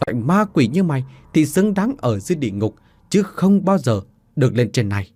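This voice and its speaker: A man's voice: medium pitch, sing-song, brisk, a little mellow, husky. A man voicing a cruel, scheming older woman who barks harsh orders and sneers. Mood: harsh commanding.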